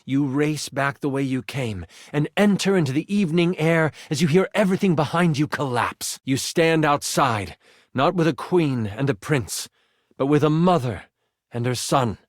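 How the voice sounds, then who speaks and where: Male game master narrator, slightly higher register, dramatic and suspenseful fantasy delivery, dry studio sound. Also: lively intonation, moderate pace, dry recording. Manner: theatrical, suspenseful storytelling.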